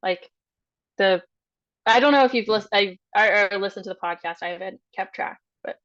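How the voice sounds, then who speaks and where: A woman's voice: medium pitch, moderate pace, a bit bright. A woman chatting casually as a tabletop game player on an online call, tentative and hesitant. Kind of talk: casual chat. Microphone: online group call. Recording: dull, lo-fi online call audio.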